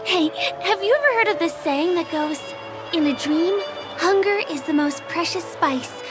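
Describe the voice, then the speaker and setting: Game role: cheerful young female guide, very high pitch, gentle, courteous, keen to help; music underneath. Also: expressive, moderate pace. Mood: cheerful, generous. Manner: polite, friendly, eager to please. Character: cheerful, gentle young woman, local tour guide and performer. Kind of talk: English video game dub dialogue. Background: background game music.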